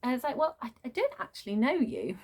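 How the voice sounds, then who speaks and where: A woman giving an unscripted, chatty book rant as a reviewer, exasperated and prone to animated asides. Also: high-pitched, fast talker, husky. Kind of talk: unscripted book rant, casual vlog chat.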